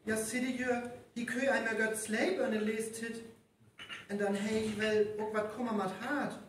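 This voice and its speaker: Fairly high North Frisian village woman , acted by a woman. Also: flat delivery, a little slow, lightly acted. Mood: conversational. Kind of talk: live radio play dialogue. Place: reverberant venue, distant microphone. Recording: roomy distant live recording, faint noise.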